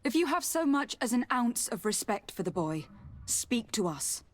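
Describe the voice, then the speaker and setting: Brief: aristocratic envoy, very lively pitch movement, formal, courteous, in command, game dialogue. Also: female voice, normal speed. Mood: pressing. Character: poised, commanding young noblewoman diplomat. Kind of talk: video game character acting.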